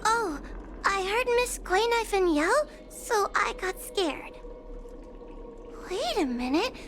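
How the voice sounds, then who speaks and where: A woman voicing a timid, easily frightened little girl in a video game, squeaky and quavering, hesitant and whimpering. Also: sing-song, slow, fairly bright tone, slight background noise, heavily acted. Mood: anxious, whimpering. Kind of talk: anime-style video game acting.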